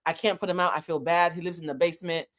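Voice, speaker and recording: A woman whose first language is French tells a casual story to her viewers. She is animated and profane, and she talks straight to her audience. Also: lowish voice, fast talker. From Boston. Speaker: channel host.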